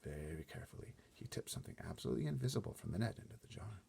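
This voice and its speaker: Low, gravelly male storyteller. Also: lively intonation, rapid-fire, mellow, slight background noise. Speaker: storybook reader. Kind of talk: expressive read-aloud narration.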